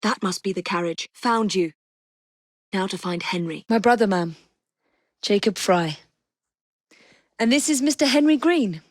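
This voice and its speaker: An actress playing a poised, determined young assassin in a Victorian London video game, with an English accent and a crisp, politely commanding delivery. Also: female voice, mid-range voice, expressive, unhurried, pauses often, dry recording, studio quality. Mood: brisk, purposeful. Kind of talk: scripted game voice acting.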